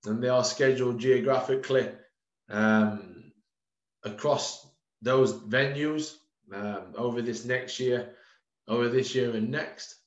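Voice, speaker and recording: A man's voice: mid-range voice, fairly even intonation, takes it slowly. Man, thirties, coach presenting webinar, informal with ums, echoey room, lo-fi webcam sound. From United Kingdom. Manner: informal, addresses listeners as guys, frequent ums. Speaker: strength and conditioning coach presenting courses. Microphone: video call. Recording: roomy, dull lo-fi webcam audio.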